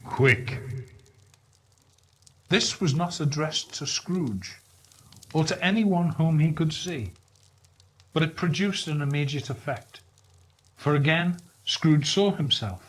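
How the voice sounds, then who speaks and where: Male narrator for storybook reading: very slow, measured, expressive, husky, slightly high-pitched. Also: rough recording.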